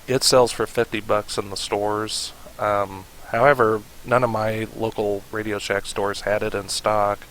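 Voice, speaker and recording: A man narrating a casual how-to tutorial in a halting manner, with pauses to think. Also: fairly low pitch, poor audio. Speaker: tutorial host. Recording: steady hiss behind the voice. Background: hiss.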